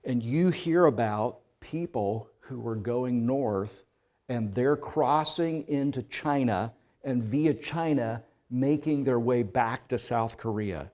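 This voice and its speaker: A male pastor preaching a sermon, telling a story in the second person and swelling from hushed passages to loud ones. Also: medium pitch, slow.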